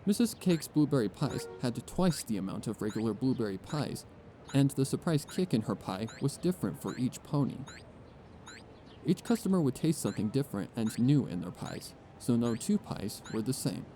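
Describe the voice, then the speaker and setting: A man narrating a scripted fan fiction story as an expressive storyteller. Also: mid-range voice, moderate pace, a little hiss or hum.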